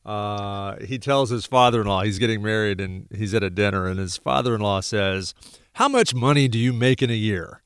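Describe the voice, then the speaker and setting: A man's voice: lowish voice, normal speed, smooth, no room sound, studio quality. Stuffy-nosed male radio host. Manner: rambling, many fillers and restarts. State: stuffy nose. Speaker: radio talk show host. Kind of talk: casual radio talk. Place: radio studio.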